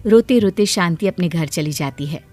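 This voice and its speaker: A woman's voice: fairly low pitch, lively intonation, moderate pace, a little mellow, faint music behind. A woman narrator reading a scripted children's cartoon story.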